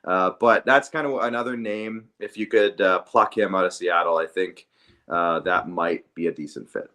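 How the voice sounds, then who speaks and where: A man's voice: sits low, moderate pace, bright, forceful tone. A man co-hosting a sports podcast, talking casually and conversationally with some hedging. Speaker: hockey podcast co-host.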